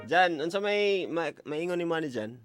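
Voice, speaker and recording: A man's voice: a little high, animated, normal speed. A man with a Cebuano Filipino accent hosting a radio call-in, chatting with callers and prompting them with questions. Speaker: radio show host taking listener calls. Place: radio studio. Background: music bed.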